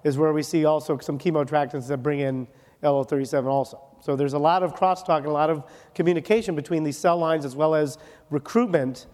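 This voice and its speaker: Male medical lecturer from Wisconsin, slightly high voice, joking and brisk, checks in with "okay?", long hall reverb. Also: a little mellow. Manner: joking, rapid, ends points with "okay?". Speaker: dermatologist lecturer. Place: conference hall.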